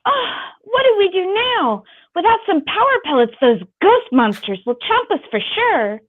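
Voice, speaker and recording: A woman voicing an excitable, fretful cartoon wife and mother in a melodramatic table read, on a dull microphone. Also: extremely high pitch, normal speed. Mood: alarmed.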